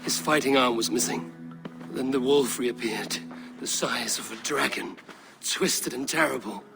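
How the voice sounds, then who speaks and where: Gravelly, intense Viking warrior. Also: male voice, medium pitch, a little slow, music in the background. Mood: grave, haunted. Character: intense, troubled male Viking warrior, fiercely loyal to his brother. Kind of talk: dramatic video game acting.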